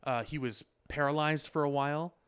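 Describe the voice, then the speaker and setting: A man's voice: fairly high pitch, steady tone, moderate pace, fairly bright tone, smooth. A man co-hosting a podcast in casual discussion, explaining things in a loose, circling way full of filler words. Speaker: podcast co-host. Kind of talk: casual pop culture discussion.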